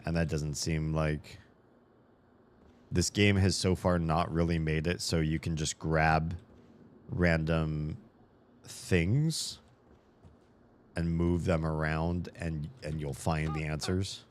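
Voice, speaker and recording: A man's voice: very deep voice, level tone, slow pace, pauses often. A man giving casual commentary as he plays a video game, thinking aloud, swearing now and then, his voice a little worn. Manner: rambling, thinks aloud, swears and laughs while playing. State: slightly losing his voice. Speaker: game streamer. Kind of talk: casual let's-play commentary.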